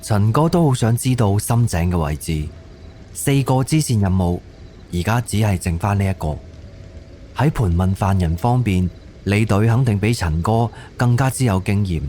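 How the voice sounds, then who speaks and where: Mellow, low-voiced male Cantonese narrator. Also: animated, normal speed, slight background noise. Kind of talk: scripted story narration.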